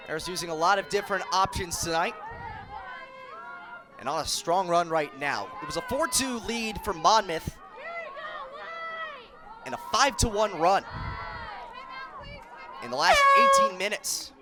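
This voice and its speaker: American male play-by-play announcer, twenties, high register, projected delivery, live outdoor stadium crowd behind. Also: very animated, measured pace, long pauses. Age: 20s. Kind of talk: live lacrosse television commentary. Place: outdoor stadium broadcast position. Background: crowd and stadium noise.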